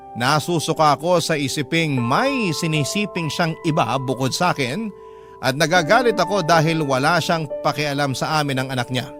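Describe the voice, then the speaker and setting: Radio drama narration by a man in the role of a wronged mother; highish voice over prominent music. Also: close to an everyday voice. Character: wronged young mother recounting her partner's cheating. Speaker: narrator reading a letter sender's story. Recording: music bed under voice. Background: instrumental music.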